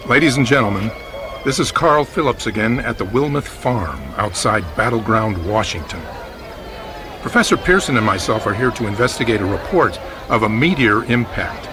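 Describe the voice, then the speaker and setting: A man playing an earnest, formal radio news reporter at a crash site, haltingly describing things in old-time live broadcast style. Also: fairly low pitch, expressive, close to an everyday voice. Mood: formal, composed.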